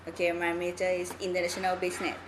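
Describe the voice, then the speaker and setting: A woman's voice: fairly low pitch, completely flat delivery, quick pace, background noise. A young woman from Thailand, a senior student, chatting casually with short answers and light giggles. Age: young adult. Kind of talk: casual chat.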